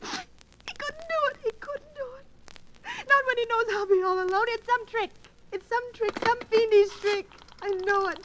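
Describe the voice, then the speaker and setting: An actress playing a neurotic, demanding bedridden wife, haughty and close to hysteria, in a 1940s radio thriller. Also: female voice, very high-pitched, normal speed, a bit bright. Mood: distraught, disbelieving. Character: frantic, imperious, neurotic bedridden wife alone at night. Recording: noisy old 1940s radio broadcast.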